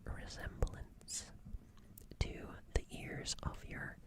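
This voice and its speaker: A woman doing soft whispered ASMR roleplay as a gentle, caring alien, close to the microphone, soothing and unhurried, softly repeating words. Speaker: ASMR streamer roleplaying a character.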